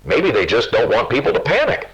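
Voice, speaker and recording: A man's voice: mid-range voice, huge pitch swings, brisk, creaky, a bit bright, husky, dull audio, heavily acted. A man playing a brooding, consoling late-night radio host, speaking with intimate, dramatic phrasing.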